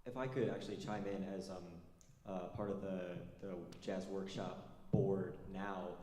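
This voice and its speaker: A male student speaks in a halting way, pausing between phrases. His voice is very low and mellow. He is heard in an echoey hall with a long reverb. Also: level tone. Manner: hesitant, halting. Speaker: student on jazz workshop board.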